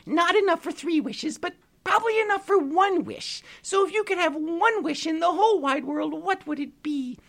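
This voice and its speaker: A storyteller voicing a tiny, weepy magical pixie lady in a very high, squeaky singsong voice. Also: moderate pace, creaky, hardly any pauses, fairly bright tone, exaggerated voice. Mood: grateful.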